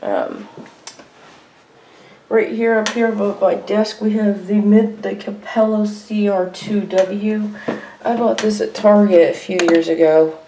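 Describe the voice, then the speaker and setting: A woman giving a casual, unscripted show-and-tell at home in a halting way, as a hobbyist vlogger. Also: medium pitch, steady tone, dark tone. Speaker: weather radio hobbyist vlogger. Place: indoor room at home. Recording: noisy homemade video with clicks.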